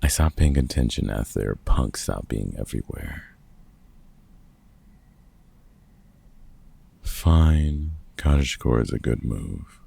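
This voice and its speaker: An extremely low, heavily creaky voice for a gruff middle-aged vampire hunter acted by a man. He is a protective mentor with a husky, raspy tone and unhurried delivery, teasing and dryly fond in a comfort ASMR roleplay. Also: lively intonation, warm tone, heavily acted. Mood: awkward, supportive. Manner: casual, teasing, sweary, halting.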